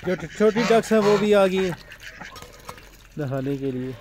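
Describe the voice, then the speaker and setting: A man casually narrating a village vlog about his ducks, in a relaxed, chatty way. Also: high voice, unhurried, background noise. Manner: relaxed, chatty, says "ji" often. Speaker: vlogger. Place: outdoor village yard with ducks.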